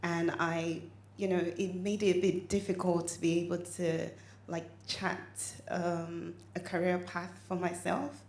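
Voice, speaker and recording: A woman in the audience asks a hesitant question, pausing often with "um". Her voice is lowish and gravelly, and it echoes through a hall with faint noise behind it. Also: little pitch movement, darkish tone. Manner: hesitant, with many "um"s and slow pauses. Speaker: audience member asking a question.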